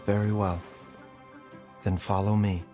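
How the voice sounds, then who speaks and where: An actor playing a calm, grave game doctor who speaks in a measured, formal, composed manner. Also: male voice, fairly low pitch, close to an everyday voice. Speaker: doctor character in a game story quest. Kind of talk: scripted game voice acting. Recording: studio voice over game music.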